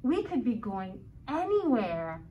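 A woman reads speech bubbles from a children's book, her voice high and unhurried, with pitch that swings widely. Faint room noise sits behind her, and at times she sounds a little off the mic. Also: big pitch swings, lo-fi sound.

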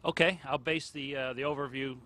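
A middle-aged man from Connecticut runs through the spacewalk plan at a quick clip. His voice is bright, and his explaining has a touch of dry humor. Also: medium pitch, fairly even intonation, a little hiss or hum. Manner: brisk, explanatory, light humor. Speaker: astronaut spacewalker. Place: outdoors at a launch pad.

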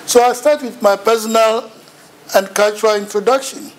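A man's voice: high-pitched, steady tone, moderate pace, a little hiss or hum, low-quality recording. A middle-aged man with a Ghanaian accent giving a seminar lecture in a lecture room, telling his story with self-mocking humour. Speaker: visiting university lecturer. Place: lecture room in front of a projection screen.

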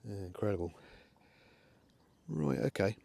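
Relaxed middle-aged man, slightly low voice, casual outdoor vlog talk. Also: measured pace, gravelly. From England. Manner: chatty, relaxed, talks to his dogs. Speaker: air rifle shooting vlogger. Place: outdoors in a windy field by a pond. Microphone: camera on a tripod, then handheld.

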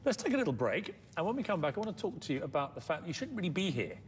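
Man, TV host, playful teasing, quick delivery, lively pitch. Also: a little hiss or hum. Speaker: talk show host. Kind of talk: chatty TV interview. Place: TV studio set with leather armchairs. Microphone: lapel mic.

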